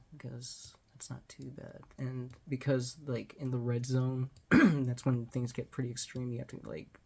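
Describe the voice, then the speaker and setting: A man's voice: mid-range voice, creaky, mellow, slightly rough. A Texan man in his forties talking casually to a close camera in a small home room, rambling and self-deprecating. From Texas. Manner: rambling, self-deprecating, drops to near-whisper at times. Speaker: vlogger. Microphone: phone or webcam close to face.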